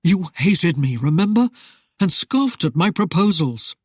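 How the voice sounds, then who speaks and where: A woman's voice: lowish voice, sing-song, unhurried, a little mellow, breathy edge, no room sound, studio quality, full character voice. A woman voicing a self-assured nobleman who speaks in a formal, leisurely drawl. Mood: earnest.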